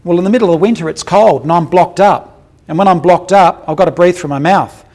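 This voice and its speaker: A man with a gravelly, somewhat high voice lectures on dental cases. He talks bluntly and colloquially, slips in jokes, and puts questions to his audience. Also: a little fast, a little mellow. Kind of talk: clinical lecture with case examples.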